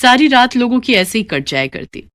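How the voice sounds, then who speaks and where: A woman's voice: fairly high pitch, big pitch swings, a little fast, a bit bright, no room sound. A woman reading scripted narration for a children's moral story.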